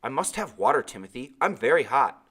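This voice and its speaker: A man acts out a defiant young castaway boy in a storybook. The voice is raised in pitch, insistent and complaining, pushing each demand with impatience. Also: very animated, a little fast, a bit bright, slightly rough. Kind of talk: storybook character voice in a read-aloud.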